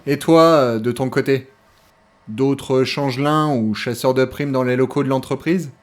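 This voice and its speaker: A man playing a casual, gently mocking sidekick in an audio drama, with a deadpan, colloquial delivery. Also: mid-range voice, measured pace, lightly acted. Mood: matter-of-fact. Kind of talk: French audio drama acting.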